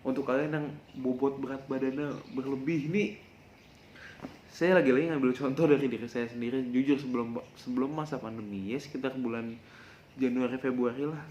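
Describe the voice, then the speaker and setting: A young man with a mid-pitched voice talks casually to his podcast listeners. He rambles and corrects himself as he shares personal tips. The room is echoey, with a faint layer of noise behind him. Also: steady tone, brisk, a little mellow, muffled recording. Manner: rambling, self-correcting, confessional, addresses listeners directly. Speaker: podcast host.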